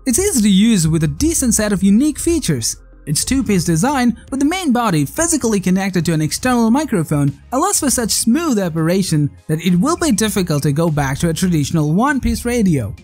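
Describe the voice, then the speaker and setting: A narrator with a mid-pitched voice delivers a scripted product review. The intonation moves up and down in a lively way, with a measured, promotional read-aloud delivery. Faint music plays behind the voice. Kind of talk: scripted narration of a tech countdown. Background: background music.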